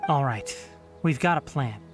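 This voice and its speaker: A man acting an earnest young male scholar in a video game cutscene, with a mid-pitched voice. He speaks in a measured, polite way and sounds thoughtful and articulate. Clear music plays behind him. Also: animated, lightly acted. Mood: resolute, solemn. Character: earnest, thoughtful young male scholar and forest watcher, loyal to his master.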